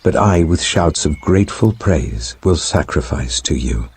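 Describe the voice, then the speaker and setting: Man playing a grave, husky Hebrew prophet. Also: rumbling low, lively intonation, a little slow, a little mellow, full character voice. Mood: anguished, prayerful. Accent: British. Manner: solemn, declamatory.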